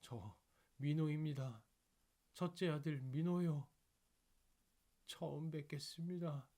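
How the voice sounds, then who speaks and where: Man acting a grief-stricken grown son, raised husky voice. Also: on the high side, slow, mellow, voice pushed up. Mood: grieving, solemn. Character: grown son, grief-stricken, solemn, greeting his dead father.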